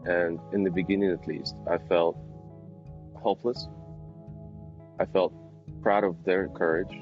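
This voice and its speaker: A man from Ukraine gives personal testimony in an extremely deep voice. His pitch stays flat and his phrasing is measured and halting. Music plays clearly behind him. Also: muffled recording. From Kyiv, Ukraine. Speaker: corporate attorney.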